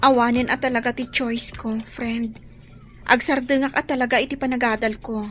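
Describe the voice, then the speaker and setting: A woman's voice: on the high side, flat delivery, normal speed, a bit bright, faint music behind, close to an everyday voice. A woman acting an earnest, plaintive young student daughter, worried and self-sacrificing, in a radio drama. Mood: resigned, determined. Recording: faint background noise.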